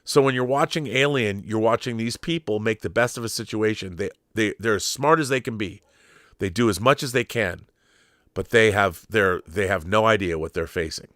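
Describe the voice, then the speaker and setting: Male commentator, lowish register, dry close sound, opinionated and emphatic, thinks aloud with restarts. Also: fairly bright tone, no room sound. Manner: emphatic, with repeated phrases for stress. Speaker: commentator and host of a film and TV show. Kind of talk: opinionated pop-culture commentary.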